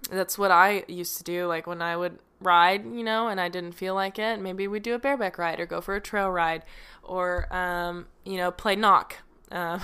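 A woman's voice: fairly low pitch, pushed and bright, clean tone. A young woman hosting her own podcast from home, rambling casually with lots of fillers and self-deprecating asides, drifting on and off her microphone. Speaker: podcast host. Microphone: home microphone, drifting away from it.

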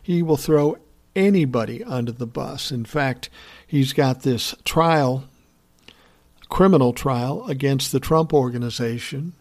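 A man's voice: medium pitch, unhurried. A man hosting a political podcast, giving blunt, profane opinion commentary and answering listener messages in a conversational way.

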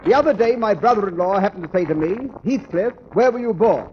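A dull, noisy vintage radio recording carries a corny comic working through old jokes. He is mannered and formal, with a high male voice that moves around a lot. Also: lively intonation, a little fast. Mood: corny, self-assured. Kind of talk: 1940s radio comedy monologue.

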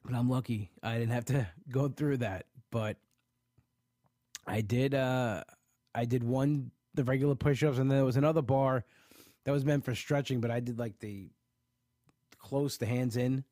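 A man's voice: medium pitch, steady tone, moderate pace, dark tone, no echo. Guy with a stuffy nose rambling to his viewers, lots of you knows, dry close sound. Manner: rambling, frequent restarts and "you know". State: stuffed up from allergies. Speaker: weight-loss vlogger. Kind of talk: casual livestream chat reading viewer comments.

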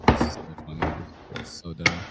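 A man's voice: deep voice, completely flat delivery, normal speed, very noisy. A man from the Philippines hosting a podcast, chatting casually while reacting to videos, swearing freely and laughing often. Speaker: podcast host.

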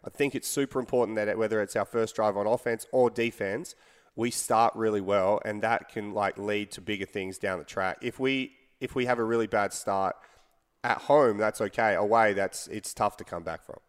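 A man's voice: lowish voice, normal speed, smooth, in a large hall. A young man with a non-American English accent co-hosting a casual sports podcast, chatty and repeating words for emphasis. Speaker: podcast co-host.

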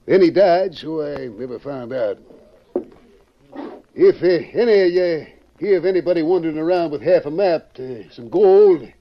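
An actor playing a wary, laconic man posing as a stranger in town, in an old radio western. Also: fairly high pitch. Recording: muffled vintage radio recording.

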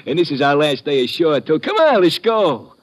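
A man playing a rough, tipsy deckhand with a husky voice in an old radio drama. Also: a little high, very animated, moderate pace. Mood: jovial. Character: tipsy, rough, surly-then-friendly male deckhand. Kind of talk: 1940s-50s radio drama acting.

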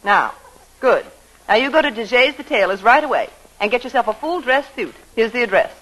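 An actress playing a commanding, wry female advertising executive in a 1940s radio comedy, with a brisk, bossy delivery. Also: a little high, animated, a bit bright. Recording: noisy old radio broadcast recording.

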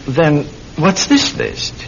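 A man's voice: wildly swooping pitch, slow, creaky, exaggerated voice. An actor playing an eager, inquisitive young man, an amateur sleuth, probing hesitantly in a classic radio drama. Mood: curious. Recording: dull, rumbling, noisy old radio recording.

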